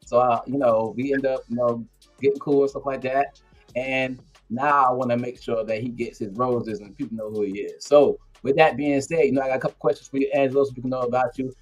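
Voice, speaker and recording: Fast-talking man hosting a casual chat. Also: mid-range voice, soft background music. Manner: animated, slangy, acts out quotes. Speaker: interview host.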